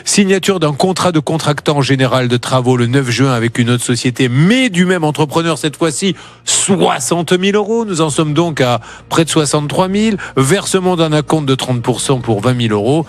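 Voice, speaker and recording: A man's voice: fairly high pitch, lively intonation, moderate pace, no echo. A man hosting a live TV help show, joking and teasing his colleagues as he runs through the cases. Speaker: TV show host. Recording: broadcast TV audio.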